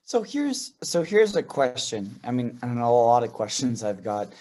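A man's voice: mid-range voice, sing-song, normal speed, mellow. A man hosting an interview podcast, posing long conversational questions with frequent "you know" fillers. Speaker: podcast host.

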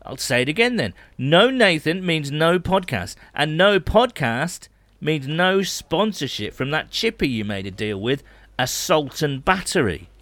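A man acts out a bumbling coach in a comic audio drama, with a highish voice that swoops around. He is excitable and easily flustered, on a dry, close recording. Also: measured pace, no echo. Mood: panicked. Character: panicky, bumbling head coach of a podcast team. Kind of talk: amateur comedy audio drama acting.

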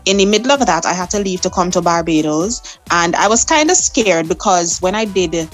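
A woman's voice: fairly low pitch, moderate pace, soft background music. A young adult woman with a Vincentian accent, a soca artist recounting her performances in an interview, laughing often. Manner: lively storyteller, laughs often. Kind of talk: interview storytelling.

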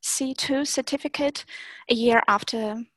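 A woman's voice: fairly high pitch, fairly even intonation, unhurried, a bit bright. A woman whose first language is Russian, speaking English as an interview guest about teaching German, with many fillers and restarts. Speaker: German teacher and Instagram creator. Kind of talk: interview guest, casual conversation.

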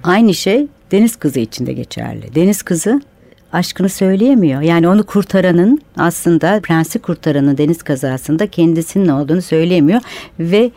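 Woman writer on a radio show, lowish mellow voice, takes her time retelling myths. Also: animated, normal speed, no echo. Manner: thoughtful, retelling myths at length. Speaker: author and radio guest. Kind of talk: conversational interview. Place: radio studio.

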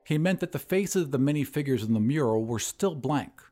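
Male podcast narrator, scripted storytelling, animated intonation, soft music behind. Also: mid-range voice, brisk. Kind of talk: scripted audiobook-style narration.

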